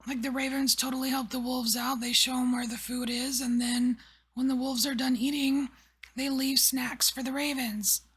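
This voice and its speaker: A woman giving a whispered tarot reading, rambling softly with many fillers. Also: high voice, little pitch movement, moderate pace. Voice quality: breathy. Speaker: tarot reader.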